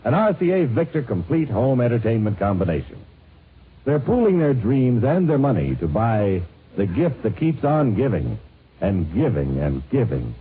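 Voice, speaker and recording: An old band-limited radio recording with faint hiss. A male announcer delivers a polished, emphatic commercial pitch in a medium-pitched voice that rises and falls dramatically. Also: sing-song, moderate pace, narrow, tinny audio. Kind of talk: 1950s scripted radio advertising read. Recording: band-limited, hissy 1950s radio broadcast.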